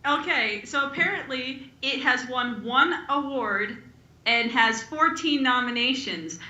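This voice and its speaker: A woman podcast co-host chatting casually about films in an animated, talkative way. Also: a little high, dull audio. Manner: animated, chatty, acts out imagined quotes. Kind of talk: casual film chat. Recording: echoey room sound.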